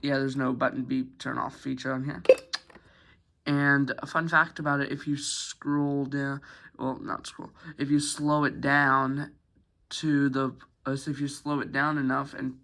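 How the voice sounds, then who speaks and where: A young man giving a casual, halting, informal product showcase as a hobbyist reviewer. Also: mid-range voice, monotone, vocal fry, sharp tone. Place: carpeted room at home.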